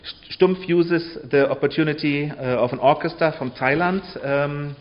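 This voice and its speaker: A man giving an informal public lecture in a halting way, often pausing and checking in with short tags. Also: on the high side, lo-fi sound. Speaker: lecturer on sound archive history. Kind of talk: public talk with hesitant, informal delivery.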